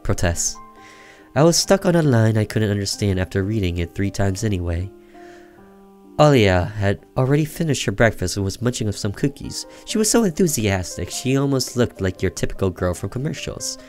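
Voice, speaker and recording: A man reading a story aloud as expressive narration for a game, occasionally stumbling over the words. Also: fairly low pitch, normal speed. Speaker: gaming streamer reading a visual novel aloud. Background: music under the voice.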